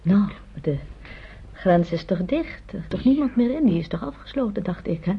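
A woman's voice: medium pitch, animated, normal speed, breathy edge. An actress playing a kindly, anxious middle-aged French mother, genteel and hesitant, in a naturalistic radio drama. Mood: concerned. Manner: genteel, hesitant, softly concerned. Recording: dull old radio play recording.

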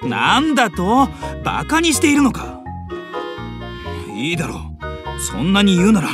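A proud young man who is stiff, blunt and easily affronted. His indignant delivery swings widely in pitch, in audio drama style, over prominent music. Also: wildly swooping pitch, normal speed, heavily acted. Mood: haughty, piqued. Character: stiff, irritable, proud young older brother. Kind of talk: animated Japanese audio drama acting. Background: music and animal sounds.

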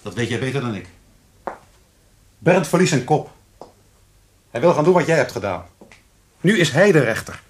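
A reproachful grown son in a radio drama. His husky voice moves in lively swings of pitch. He speaks with a sardonic, accusing edge. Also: normal speed, pauses often. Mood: bitter, accusing. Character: bitter, reproachful adult son who feels slighted. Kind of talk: radio play acting.

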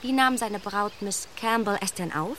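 A woman's voice: medium pitch, moderate pace, fairly bright tone, a little hiss or hum, close to an everyday voice. An actress playing a clever young lady in a radio play, probing and ironic in her questions.

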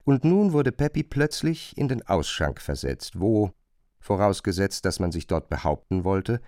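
A man narrating a literary radio play, retelling a chambermaid's grievances in an expressive, emphatic way. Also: low-pitched, unhurried, no echo, studio quality. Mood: engaged, aggrieved.

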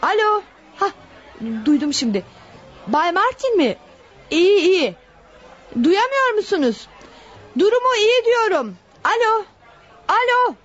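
A woman's voice: very high-pitched, sing-song, slow pace, pauses often, a faint crowd, full character voice. An actress playing a flustered female nurse straining to be heard, in a radio play. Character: flustered female nurse on a bad phone call. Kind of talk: Turkish radio play acting.

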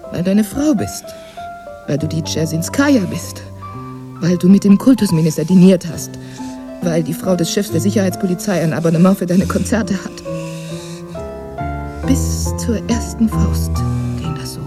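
An actress playing a battered, proud and bitter imprisoned concert pianist in a radio drama, speaking in halting, introspective fragments. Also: female voice, on the low side, expressive, music in the background. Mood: anguished, bitter. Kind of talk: German radio drama acting, interior monologue.

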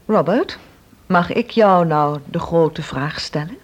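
A woman's voice: lowish voice, slow pace, lo-fi sound. A woman playing a spirited, inquisitive confidante in a Dutch radio drama, speaking bluntly and expressively. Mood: frank, probing.

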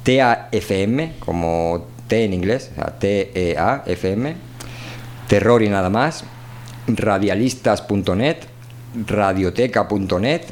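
A man's voice: low-pitched, a little mellow, a little hiss or hum. A man hosting a radio show, talking informally and colloquially, often checking in with short tag questions. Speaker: radio show host and voice performer. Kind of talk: informal spoken radio talk.

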